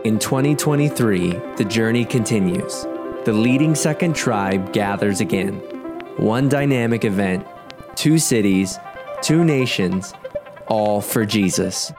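Man, trailer voice-over, slow dramatic delivery, music bed. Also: on the low side. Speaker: trailer narrator. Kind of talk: scripted event promo voice-over.

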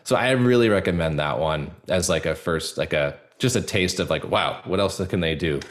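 A man with a very low, deep voice in casual conversation as an interview guest. He talks informally, the way someone would on a podcast when recommending a show. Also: normal speed. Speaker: podcast guest, actor and audio drama producer. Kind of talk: casual chat, promoting a show.

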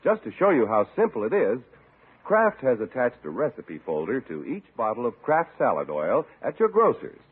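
A man's voice: mid-range voice, wildly swooping pitch, moderate pace, husky. A man announcing from a script in 1950s radio style. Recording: narrow-band old radio broadcast recording.

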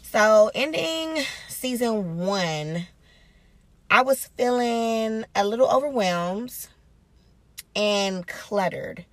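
A woman's voice: on the low side, no room sound. A woman hosting her own podcast in a casual, upbeat, reflective way. She repeats phrases for emphasis. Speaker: podcast host. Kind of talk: casual solo podcast talk.

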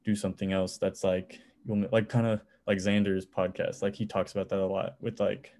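A young man in college, a music producer, talking as a podcast guest in a relaxed, rambling way. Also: low voice, a little fast. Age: young adult. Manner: relaxed, rambling, many "it's like" fillers. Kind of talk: casual interview chat.

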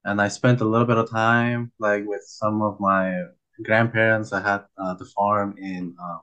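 A man's voice: on the low side, level tone, normal speed, a bit bright. A young man from Peru, talking casually and a bit rambling with many fillers, as a guest on a video call. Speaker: guest, nonprofit founder. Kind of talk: casual interview chat.

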